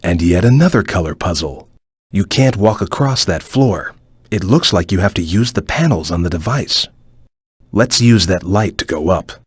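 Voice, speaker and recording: A man voicing a stern, watchful cartoon ninja guide in a video game, with a measured, formal, cautious way of advising. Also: low-pitched, lively intonation, husky, no room sound, studio quality. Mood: cautious, instructive. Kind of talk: video game voice acting, short hint lines.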